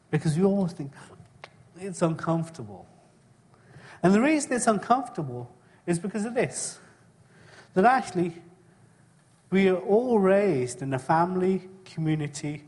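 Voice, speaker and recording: A middle-aged man from England gives a sermon, speaking in a slightly raised voice. He talks in a conversational way, often turning to his listeners with tag questions and clearing his throat. His voice carries a long reverb from a church hall. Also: fairly high pitch, darkish tone. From born in Kenya, raised in England. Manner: conversational, asks the congregation frequent tag questions. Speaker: preacher. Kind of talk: sermon with personal testimony.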